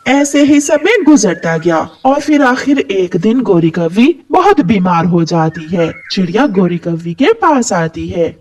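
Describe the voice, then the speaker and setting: Male cartoon storyteller: very high pitch, slow, scripted, lively intonation. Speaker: narrator.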